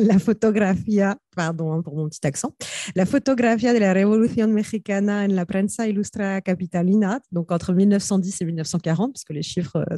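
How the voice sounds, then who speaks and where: A woman's voice: medium pitch, mellow, dry recording, clean studio sound. A woman chairing an academic panel, introducing a speaker with many hesitations and fillers. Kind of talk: introducing a speaker at a research conference.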